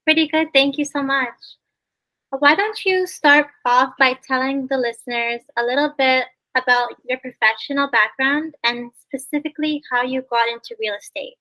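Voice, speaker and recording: A woman's voice: high voice, steady tone, normal speed, bright, forceful tone, poor audio. A Canadian woman hosting an interview podcast, chatty and friendly, often saying "you know" and "like.". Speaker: podcast host.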